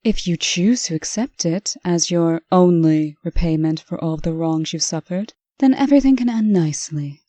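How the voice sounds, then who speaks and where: A woman playing a stern, brisk official in a comic dub, speaking with dry, scolding authority. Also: on the low side, warm tone, no echo, lightly acted. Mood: stern, businesslike. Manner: crisp, authoritative, dryly scolding. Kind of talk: scripted comic-dub acting.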